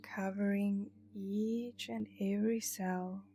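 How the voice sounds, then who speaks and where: A woman narrating a guided meditation in a soothing, hushed manner with breathy phrase endings. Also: medium pitch, steady tone, slow pace. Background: meditation music.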